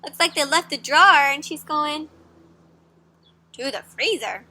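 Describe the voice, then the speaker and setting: High, bright, animated woman storyteller. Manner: expressive, asks children questions, gasps and dramatic pauses. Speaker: storyteller reading to children. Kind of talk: animated children's read-aloud.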